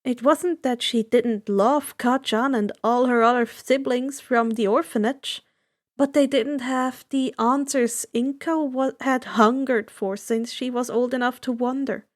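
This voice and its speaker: High-voiced woman narrating a story. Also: fairly even intonation, unhurried. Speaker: fan fiction reader.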